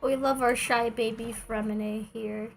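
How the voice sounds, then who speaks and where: High-voiced woman chatting over a game. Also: fairly even intonation, unhurried, vocal fry, faint music behind. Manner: chatty, playful, swears casually. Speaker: game streamer. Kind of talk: casual livestream gameplay commentary.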